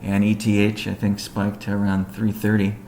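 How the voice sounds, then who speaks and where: A man with a low, creaky voice delivers a technical business update on a conference call. His delivery is measured and halting, with little melody. The sound is roomy and echoey. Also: monotone, a little mellow. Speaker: company executive giving update. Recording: echoey room, faint background noise.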